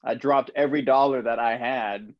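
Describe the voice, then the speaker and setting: A man hosting a casual podcast conversation, telling relaxed stories with frequent laughs. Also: mid-range voice, moderate pace, dry recording, highs cut off. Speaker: podcast host.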